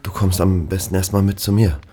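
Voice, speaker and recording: A man's voice: low voice, level tone, a little fast, dark tone, lightly acted. A middle-aged man playing a worried, protective family friend in a naturalistic German audio drama, earnest and hesitant in manner. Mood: soothing, caring.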